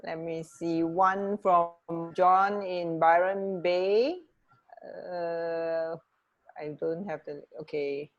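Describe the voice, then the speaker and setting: A woman co-hosting a radio show in casual chat, halting and often rephrasing mid-sentence. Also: on the low side, fairly even intonation, lo-fi sound, faint music behind.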